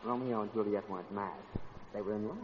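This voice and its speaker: A man's voice: fairly low pitch, fast talker, lo-fi sound. Male host on noisy old radio. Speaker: radio show host and comic straight man. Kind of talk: 1940s radio variety show patter.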